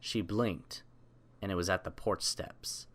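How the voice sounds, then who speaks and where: A man's voice: sits low, fairly even intonation, measured pace, fairly bright tone. A man reading a horror story as a scripted dramatic narration, solemn and suspenseful, drawing out key phrases. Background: music.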